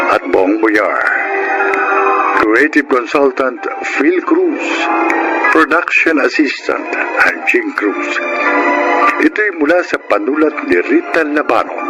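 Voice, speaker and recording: A man's voice: medium pitch, poor audio. A man with a Filipino accent narrating a radio drama in a solemn, scripted style, introducing the story and reading credits. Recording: loud music bed behind voice.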